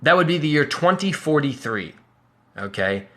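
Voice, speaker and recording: A man's voice: fairly high pitch, sing-song, normal speed, sharp tone. A man in his twenties vlogging earnestly about personal finance over slides, conversational with restarts and rising emphatic bursts of conviction. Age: 20s. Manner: conversational with restarts and self-corrections.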